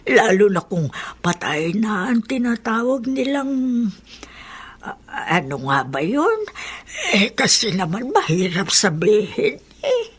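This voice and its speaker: Slow, husky older Filipino woman. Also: medium pitch, big pitch swings, exaggerated voice. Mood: hesitant. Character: older woman, healed leprosy patient, motherly, resigned, living alone. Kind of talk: radio drama acting. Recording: music under the voice.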